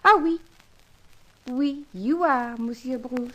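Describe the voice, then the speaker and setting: An actress playing a coquettish, soft-hearted French spy in a radio serial, speaking halting broken English with a French accent. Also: female voice, high voice. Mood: cooing, teasing.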